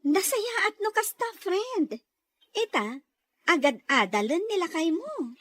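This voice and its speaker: An actress plays a supportive young office friend in an audio drama. Her voice is high and very dry, chatty and expressive. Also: takes it slowly, no echo, full character voice. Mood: encouraging. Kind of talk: Ilocano audio drama acting.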